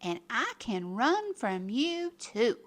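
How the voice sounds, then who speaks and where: Woman voicing a cocky, high-pitched little gingerbread cowboy. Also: big pitch swings, takes it slowly. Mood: bragging. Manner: singsong, boastful chanting. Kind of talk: children's storybook read-aloud.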